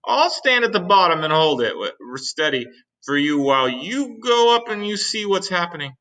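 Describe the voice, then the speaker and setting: A man voicing a panicky male police chief who yells in a high, frantic voice. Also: sing-song, moderate pace, heavily acted. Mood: alarmed. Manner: frantic, high-pitched yelling.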